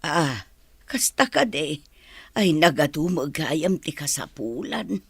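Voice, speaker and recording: A woman acting as a gentle, worried mother on a call in an Ilocano radio drama, tender and concerned. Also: sits low, very animated, takes it slowly, creaky, slightly rough, no echo, full character voice.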